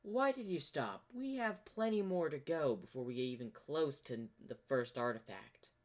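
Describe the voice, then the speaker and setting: A man's voice: mid-range voice, expressive, moderate pace, voice pushed up, natural voice. A man voicing a haughty, sarcastic female magical pony, boastful and impatient in a cartoon fan story. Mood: bossy. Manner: boastful, dismissive.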